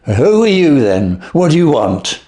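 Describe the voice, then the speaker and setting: A man's voice: a little high, big pitch swings, a little slow, warm tone, pitched above the performer's own voice. A man voicing a nervous little thief with a quavering voice, pleading in panicky questions. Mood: frightened.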